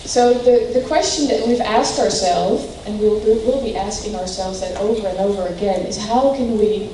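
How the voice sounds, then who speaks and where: A woman hosting an event, introducing the speaker in a halting way with many fillers. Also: mid-range voice, mellow, slight background noise. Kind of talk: speech introduction. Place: large hall. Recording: echoey hall from far off.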